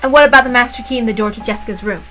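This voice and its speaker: Woman playing a shrewd, commanding mother, high voice. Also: racing, pushed and bright, soft background music. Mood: insistent. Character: shrewd, suspicious mother taking command of her family.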